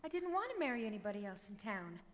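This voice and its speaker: An actress playing a tender, devoted young wife in a 1940s-style radio play. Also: female voice, fairly high pitch, very animated, fast talker, a bit bright. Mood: tender, teasing. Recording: live stage taping in echoey hall.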